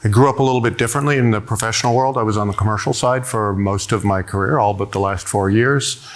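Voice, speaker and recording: A man's voice: fairly low pitch, fast. A middle-aged man telling a personal story on a conference panel, earnest, with frequent hesitations. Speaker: panelist, diversity executive.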